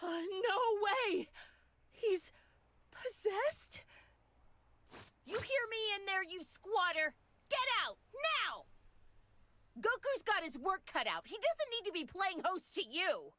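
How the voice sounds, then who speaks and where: A woman's voice: very high-pitched, big pitch swings, takes it slowly, long pauses, bright, forceful tone, exaggerated voice. A woman voicing a brash, hot-tempered, sarcastic woman in an anime video game, with an exclamatory, sassy manner. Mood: indignant.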